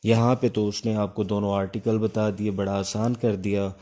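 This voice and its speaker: A man's voice: on the low side, flat delivery, moderate pace, dark tone. A Pakistani man giving an instructive, exam-focused law lecture, repeating key points as he explains and dictates. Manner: instructive, repeats key points, switches between Urdu and English.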